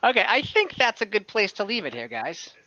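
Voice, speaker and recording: Bright-voiced man running a game. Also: sits high, wildly swooping pitch. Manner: informal, halting, thinking aloud. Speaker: game master and host. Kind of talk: casual improvised game narration and chat. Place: online voice call from home. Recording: dull, close online call audio.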